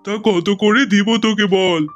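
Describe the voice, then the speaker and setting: Male cartoon tiger uncle, acted by a man, high-pitched, bossy, emphatic trader, music behind. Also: steady tone, takes it slowly, fairly bright tone, dull audio. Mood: pleased. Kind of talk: cartoon character acting.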